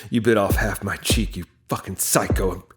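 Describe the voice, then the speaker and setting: A man playing a crude, menacing henchman who turns cowardly when threatened, with rough colloquial speech, in an audio drama. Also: on the low side, steady tone, normal speed, soft background music, close to an everyday voice. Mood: taunting menace.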